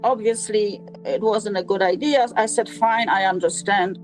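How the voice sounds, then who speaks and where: A woman in her sixties from Poland with a Polish accent, telling her own story in an interview and often pausing on fillers. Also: mid-range voice, steady tone, faint music behind, low-quality recording. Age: 60s. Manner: halting, with frequent fillers.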